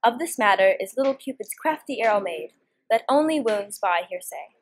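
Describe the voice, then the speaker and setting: A teenage girl acts a young noblewoman plotting in a Shakespeare comedy. Her voice is fairly high and highly animated, and she declaims the verse theatrically. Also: moderate pace, breathy edge. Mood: conspiratorial. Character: Hero, scheming young noblewoman feigning disdain for her cousin. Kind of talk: Shakespearean stage dialogue, student performance.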